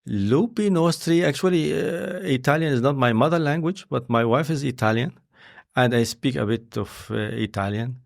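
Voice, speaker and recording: Gravelly man, halting and searching. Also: medium pitch, lively intonation. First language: not English. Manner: halting, searching for words with many fillers. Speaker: interview guest, scientist and filmmaker. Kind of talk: conversational interview.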